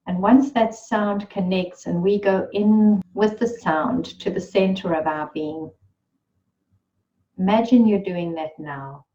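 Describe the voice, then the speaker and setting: A woman leading a guided meditation in a gentle, soothing, unhurried way. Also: lowish voice, highs cut off. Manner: slow, soothing and unhurried, with long pauses.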